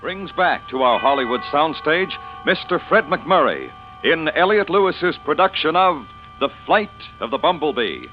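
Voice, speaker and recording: A man's voice: fairly high pitch, very animated, normal speed. An American man announcing an old-time radio drama in a formal, dramatic manner. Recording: dull vintage radio, music behind.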